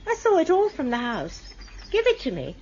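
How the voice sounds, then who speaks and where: A patronizing, scolding nurse in a radio drama. Her voice is high and swoops widely, bossy and condescending, on a slightly dull, faintly noisy recording. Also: wildly swooping pitch, moderate pace, a little mellow.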